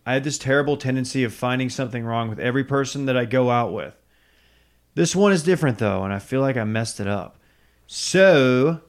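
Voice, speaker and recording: Casting: man, mid-pitched, podcast co-host reading listener mail. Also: clean tone. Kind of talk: reading a listener email aloud.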